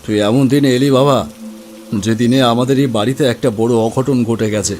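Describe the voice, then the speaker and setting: A man's voice: mid-range voice, steady tone, normal speed, darkish tone, slight background noise, lightly acted. A man voicing a grieving, solemn uncle in a cartoon horror story, sorrowful and disbelieving. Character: grieving, solemn uncle breaking bad news.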